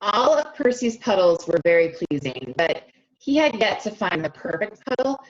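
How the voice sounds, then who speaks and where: A woman reading a picture book aloud to children, expressive and stretching key words, often stopping to ask them questions directly, on a slightly dull lo-fi recording. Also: mid-range voice, moderate pace. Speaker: speech-language pathologist reading to children. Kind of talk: animated children's read-aloud with questions to listeners.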